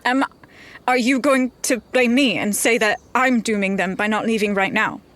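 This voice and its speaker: A woman's voice: on the high side, moderate pace, a bit bright, close to an everyday voice. A woman playing a blunt, loyal fantasy adventurer in a tabletop roleplaying game. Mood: frustrated. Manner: direct, firm.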